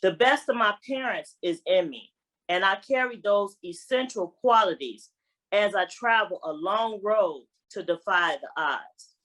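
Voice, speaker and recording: Woman reciting spoken-word poetry, medium pitch. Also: expressive, a little slow, a bit bright, poor audio. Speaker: poet reading own work.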